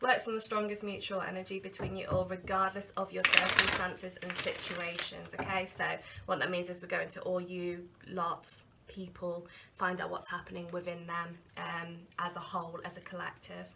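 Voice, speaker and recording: A woman's voice: on the low side, moderate pace, vocal fry, noisy background. A woman in her thirties giving a chatty tarot reading to camera in a small candlelit room, battling a cold. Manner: chatty, animated hand gestures, slips into quoted inner voices. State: has a cold. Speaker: tarot reader. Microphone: camera in front at desk distance.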